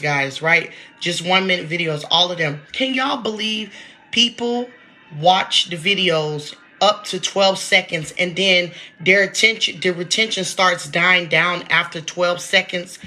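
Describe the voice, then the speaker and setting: A woman's voice: fairly low pitch, pushed and bright. A woman in her late thirties, congested from allergies, chatting casually to her viewers in a vlog and often calling out to them directly. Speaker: vlogger. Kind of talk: casual talk to camera.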